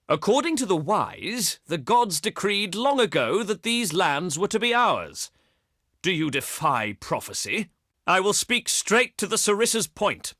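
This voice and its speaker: Video game envoy of ancient Greece: haughty, theatrical, higher-set bright voice with sweeping intonation, pompous and slyly menacing. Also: male voice, dry recording, studio quality, exaggerated voice. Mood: imperious. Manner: pompous, oratorical, deliberate phrasing. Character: haughty ancient Greek diplomat, pious and slyly threatening. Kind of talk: theatrical scripted game dialogue.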